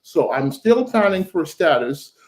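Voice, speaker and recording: A middle-aged man with a fairly high voice speaks on a panel. He chooses formal words and speaks in a measured way. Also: very animated. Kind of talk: personal story on a panel.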